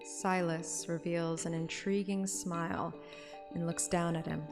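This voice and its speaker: A woman's voice: on the low side, little pitch movement, unhurried. A woman streamer narrating a game story in an audiobook style, with casual asides. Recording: reverberant, music under the voice.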